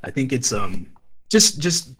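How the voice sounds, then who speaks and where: Husky, hoarse man on a podcast. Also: medium pitch, very animated, a bit bright. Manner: earnest, rambling, halting restarts. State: hoarse, losing his voice. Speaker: podcast co-host. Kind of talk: casual podcast chat.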